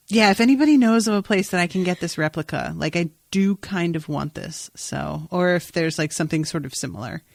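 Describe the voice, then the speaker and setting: A woman's voice: on the low side, no room sound, clean studio sound. A woman podcast host talking through a TV episode in an informal, rambling way with exclamatory asides. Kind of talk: casual TV recap chat.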